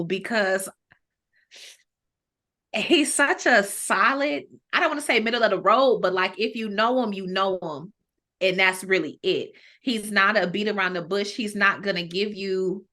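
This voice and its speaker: Bright-voiced woman, slow and thoughtful. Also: mid-range voice. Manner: hesitant, thinking aloud. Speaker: podcast guest. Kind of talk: casual chat.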